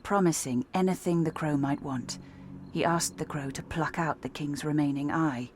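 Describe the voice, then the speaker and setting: A woman's voice: low voice, normal speed, a little hiss or hum, lightly acted. An actress playing a stern, sardonic female assassin in a video game, with a dry, threatening delivery. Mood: dark storytelling.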